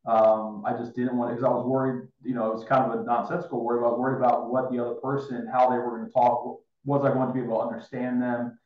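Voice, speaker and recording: A man's voice: medium pitch, flat delivery, fast, few pauses. A man in his thirties chatting casually as an interview host on a video call. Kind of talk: casual chat. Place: indoor room, on a video call. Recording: roomy, dull video call audio.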